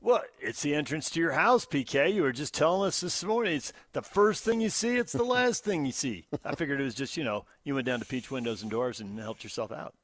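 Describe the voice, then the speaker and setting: A man's voice: on the high side, lively intonation, fairly quick, few pauses, fairly bright tone, no echo. An American man co-hosting a sports talk radio show, bantering and reading out comments in punchy, emphatic short phrases. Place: radio studio. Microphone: close studio microphone.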